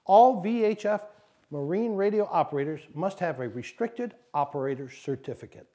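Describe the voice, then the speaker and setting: Higher-voiced man narrating safety instruction. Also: lively intonation, moderate pace, mellow.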